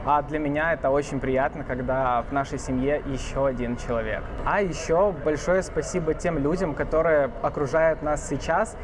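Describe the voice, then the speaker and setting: A man's voice: on the high side, a little fast, few pauses. Earnest male vlogger over a noisy background. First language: Russian. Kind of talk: scripted voice-over narration.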